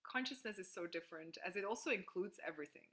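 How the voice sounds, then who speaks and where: Bright-voiced woman leading a video call. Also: lowish voice, lively intonation, fast. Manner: enthusiastic, frequent "like", laughs easily. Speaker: workshop facilitator. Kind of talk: casual group call coaching.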